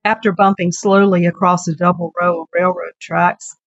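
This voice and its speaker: A woman's voice: on the low side, level tone, moderate pace, no room sound. A woman writer reading her own literary short story as a narrator. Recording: dull, dry recording with music bed.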